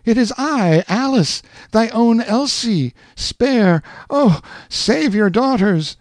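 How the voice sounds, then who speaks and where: A man's voice: very high-pitched, expressive, slow pace, no echo, pitched above the performer's own voice, heavily acted. A man voicing a frightened young woman who cries out in piercing, pleading calls to her father. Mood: desperate.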